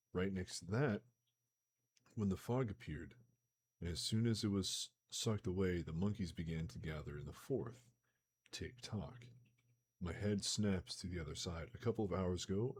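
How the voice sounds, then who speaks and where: A man with a slightly hoarse voice reading a novel aloud on a live stream, slipping into casual slangy remarks between passages. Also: low-pitched, slight background noise. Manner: reads steadily, breaks into excited slangy chat.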